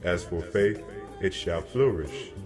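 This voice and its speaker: A man playing a solemn angel messenger who delivers grave prophecy in a measured, declamatory way, as in a dramatized scripture reading. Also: sits low. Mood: grave, prophetic. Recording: long cavernous reverb, music behind. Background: music.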